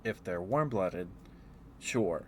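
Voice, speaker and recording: A man's voice: low-pitched, expressive, takes it slowly, a little hiss or hum. A man streaming casual, rambling ASMR chat, musing aloud hesitantly and letting thoughts trail off.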